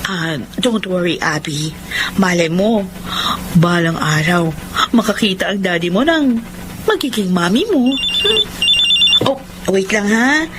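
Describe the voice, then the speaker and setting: A woman playing a kind, polite young tutor with a Filipino accent in a radio drama, friendly and expressive. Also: mid-range voice, slow pace, pauses often, noisy background. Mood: gentle. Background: music.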